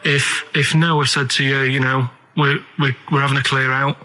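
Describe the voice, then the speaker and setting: A male radio co-host with a bright, forward voice. He chats casually and rambles along, sounding earnest and puzzled as he poses naive questions. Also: medium pitch, little pitch movement, moderate pace.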